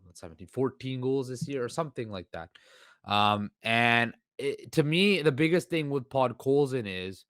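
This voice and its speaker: A man hosting a solo sports podcast, with a hoarse voice and a rambling delivery full of fillers and restarts. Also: medium pitch, no room sound, studio quality. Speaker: podcast host.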